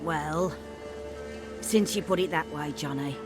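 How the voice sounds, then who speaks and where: A woman's voice: low voice, slow pace. A woman playing a batty, stern old granny who guards orphans in a fantasy game, with an erratic manner. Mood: grudging, muttering. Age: older. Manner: erratic, swinging between scolding and muttering. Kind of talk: video game voice acting. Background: game music.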